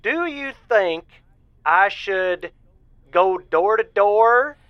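A man's voice: high-pitched, expressive, no echo, full character voice. An actor playing an earnest, hesitant, lovestruck man calling a radio show in an audio drama. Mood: dreamy, earnest. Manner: halting, wondering, earnest. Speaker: caller. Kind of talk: semi-improvised audio drama. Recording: dull lo-fi call-in line.

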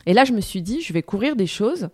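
A woman from Morocco in her forties, a journalist and news presenter, telling her career story in an interview in a lively way, with restarts and fillers. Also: mid-range voice, fairly quick, slightly rough, no echo, studio quality.

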